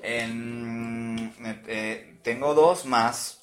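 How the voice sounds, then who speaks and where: A man's voice: medium pitch, steady tone, drawn-out words, roomy sound. A young man with a Venezuelan accent chatting casually as co-host, talking ideas through with frequent restarts and a playful edge. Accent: Venezuelan Spanish. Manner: talks things through, lots of restarts, playful. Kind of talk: casual chat.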